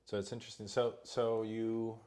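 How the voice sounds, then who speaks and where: A man's voice: fairly low pitch, level tone, normal speed, a little mellow. A man hosting an interview, asking questions in a loose conversational way. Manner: conversational, hedging with "like" and "um". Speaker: interview host. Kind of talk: casual interview chat.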